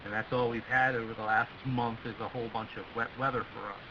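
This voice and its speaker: An American man talks as a ham radio operator in a casual, wordy way. His mid-pitched voice comes over a muffled radio link with strong hiss behind it. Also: fairly even intonation, fast talker. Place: radio voice link, heard from the far end.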